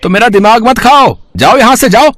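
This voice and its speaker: A man playing an irritable, uncaring fellow, brusque and dismissive, in an animated moral story. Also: extremely high pitch, normal speed.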